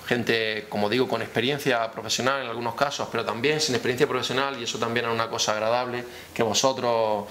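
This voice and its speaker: A man, speaking haltingly as a councillor at a press conference. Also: mid-range voice, steady tone, a bit bright. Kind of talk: semi-formal press conference speech. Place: indoor press room at town hall. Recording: clear background noise, room ambience.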